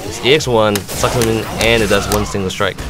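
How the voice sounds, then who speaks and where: A man's voice: on the low side, steady tone, fairly quick, poor audio. A man giving an instructional commentary on fighting game moves, explaining them step by step as a competitive gamer. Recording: music playing behind the voice. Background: music.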